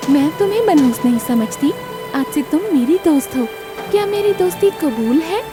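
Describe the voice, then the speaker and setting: Woman as a loyal, high-voiced brave vixen. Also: animated, moderate pace, over music. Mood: reassuring. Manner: earnest, protective. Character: loyal, brave, kind-hearted female fox friend.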